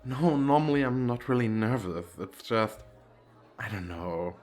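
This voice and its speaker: A man's voice: mid-range voice, expressive, measured pace, a little mellow, faint music behind, natural voice. A man acting a shy, nervous, wealthy businessman on a speed date in an ASMR roleplay, talking in a hesitant, rambling, self-deprecating way. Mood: shy, earnest. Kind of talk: ASMR roleplay, intimate flirty chat.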